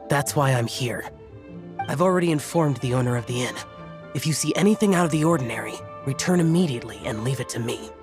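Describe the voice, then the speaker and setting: A man voicing an aloof young immortal guardian in an anime video game, terse, formal and measured. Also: mid-range voice, lively intonation, lightly acted. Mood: stern, reserved. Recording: clear music behind.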